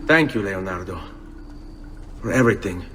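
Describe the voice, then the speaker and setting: A man's voice: low voice, expressive, normal speed, pushed and bright, long reverb tail, a little hiss or hum. An actor playing an earnest young nobleman turned avenger, with an Italian accent, in a dramatic video game style. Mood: grateful.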